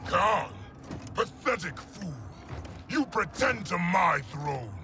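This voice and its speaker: An actor playing an arrogant warlord emperor who booms out contempt and threats. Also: male voice, sing-song, takes it slowly, background noise, heavily acted. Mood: contemptuous. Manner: booming, menacing, shouting threats. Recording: game cutscene with loud score.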